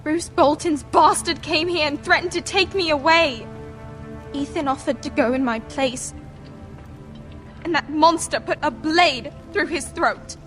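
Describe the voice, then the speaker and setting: A woman's voice: sits high, measured pace, fairly bright tone, faint music behind. A woman playing an anxious, devoted teenage noble sister in a dramatic video game, speaking with earnest emotion. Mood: grieving.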